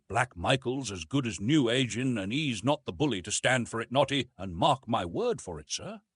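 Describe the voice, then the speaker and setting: A man acts out a little old Cockney sailor from an adventure storybook. His voice is husky and leaps about in pitch as he gives agitated, conspiratorial warnings. He drops his aitches and hammers his points home by repeating them. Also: fairly bright tone, no room sound, studio quality. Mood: indignant. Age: older. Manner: emphatic, dropped aitches, repeats himself.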